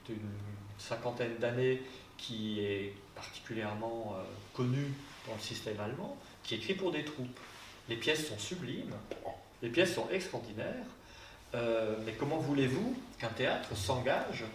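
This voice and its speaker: A man's voice: medium pitch, a little hiss or hum. A man on a panel discussing theatre, hesitant, with many fillers and restarts. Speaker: translator. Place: theatre hall. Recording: roomy hall mic from a distance.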